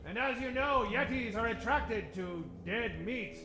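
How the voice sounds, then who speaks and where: A man's voice: extremely high pitch, animated, a little slow. A man narrating a comic old-time radio serial in a dramatic, tongue-in-cheek way. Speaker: narrator, adventure host. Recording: echoey stage, music behind.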